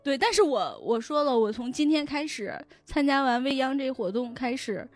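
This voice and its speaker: A woman speaking as a podcast guest in casual chat, explaining things in an animated way with frequent check-in questions. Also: high voice, normal speed, faint music behind. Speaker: guest, novel recommender and editor.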